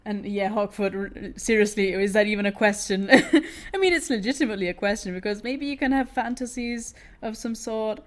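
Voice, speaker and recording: A woman's voice: medium pitch, lively intonation, moderate pace, few pauses. A woman with a British accent, born in Italy, chatting casually with her stream while she draws, giggling often and restarting her sentences. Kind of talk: casual chat while drawing.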